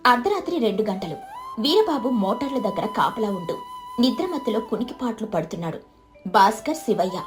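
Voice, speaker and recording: A woman narrating a scripted story. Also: a little high.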